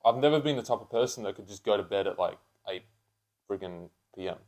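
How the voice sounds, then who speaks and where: A man's voice: on the low side, expressive, fast, darkish tone. A man in his twenties chatting casually and unscripted as a podcast co-host, with blokey, sweary, slangy talk. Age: 20s.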